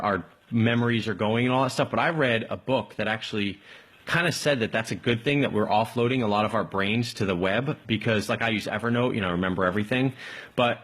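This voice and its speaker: A man hosting a casual live call-in tech stream, rambling along in an informal, enthusiastic way with a slightly husky voice. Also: medium pitch, fairly bright tone. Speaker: live tech show host.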